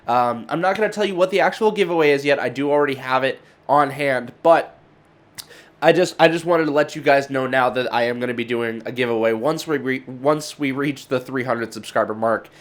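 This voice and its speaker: A man's voice: mid-range voice, fairly quick. A man hosting a channel update, talking informally and earnestly to viewers in a rambling, unscripted way. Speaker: YouTube channel host.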